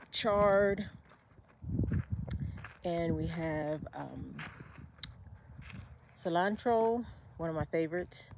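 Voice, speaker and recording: Low-voiced woman touring her garden. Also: noisy background. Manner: relaxed, unhurried, with fillers and self-corrections. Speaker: homesteader giving a garden tour. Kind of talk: casual walk-through narration. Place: outdoor desert garden.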